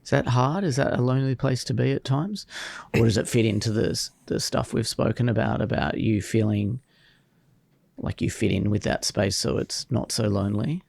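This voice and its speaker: A man hosting a podcast, asking gentle, probing questions. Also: mid-range voice, normal speed, creaky, no echo, clean studio sound. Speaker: podcast host. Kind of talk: interview questions.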